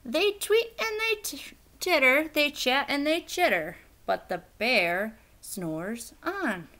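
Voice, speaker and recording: A woman reading a children's picture book aloud in an expressive, theatrical, emphatic storytelling style. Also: high-pitched, slow pace.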